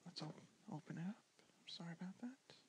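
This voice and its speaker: A young man with a soft, gentle voice teaching a board game in a soft-spoken ASMR style, slipping in and out of whispers and pausing with ums. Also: high voice, expressive. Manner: drifting in and out of whisper, hesitant with many ums. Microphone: handheld camera mic.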